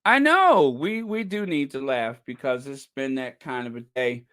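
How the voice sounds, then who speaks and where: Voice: medium pitch, lively intonation, unhurried, a bit bright, smooth. A live-stream host signing off in casual, rambling chat, joking and chuckling and calling chat viewers by name.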